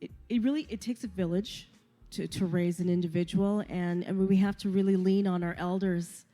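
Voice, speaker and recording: A woman hosting a talk show, affirming her guest warmly and repeating words as she speaks. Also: lowish voice, steady tone, moderate pace. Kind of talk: interview conversation.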